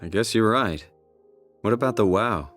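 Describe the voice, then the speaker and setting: A troubled, doubting everyman in a video game, with a low voice and a North American accent. He speaks naturally, hesitant and full of questions. Music plays clearly behind him. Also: expressive, normal speed, fairly bright tone. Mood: wary. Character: anxious, doubting everyman lost in a hostile world.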